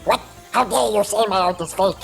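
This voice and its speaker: A man voicing a fussy, indignant male art dealer in a video game, with shrill, outraged outbursts and a gravelly voice. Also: a little fast, faint music behind.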